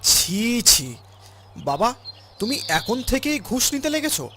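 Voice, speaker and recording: A man's voice: extremely high pitch, sing-song, takes it slowly, noisy background, full character voice. A man voicing a principled older father in a cartoon, earnest and preachy as he admonishes. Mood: disappointed, scolding. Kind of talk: cartoon voice acting.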